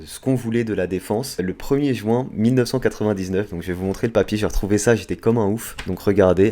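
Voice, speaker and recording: A man's voice: deep voice, dark tone. A man, telling a casual anecdote to his viewers in informal, slangy speech. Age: late 20s. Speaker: video creator and host.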